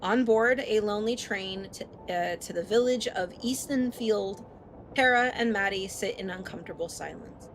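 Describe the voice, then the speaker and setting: A woman's voice: medium pitch, normal speed, slight background noise, low-quality recording. A woman live-streaming, reading a story aloud with casual, playful and sometimes profane asides. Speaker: streamer hosting a visual novel read-aloud.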